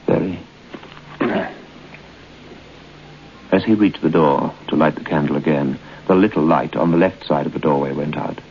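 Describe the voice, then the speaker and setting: An actor playing a grave, ominous narrator of a radio ghost story, speaking in a deliberate, suspenseful way. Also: male voice, very deep voice. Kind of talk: old-time radio horror narration. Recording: muffled, noisy old radio broadcast.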